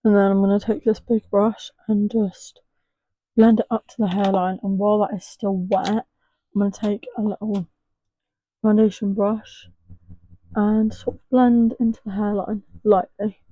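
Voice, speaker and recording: A young woman chatting casually through a makeup tutorial, her voice a little hoarse as if it is going. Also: level tone, a little slow, no room sound, lo-fi sound. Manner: chatty, rambling, soft and low at times. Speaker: makeup artist.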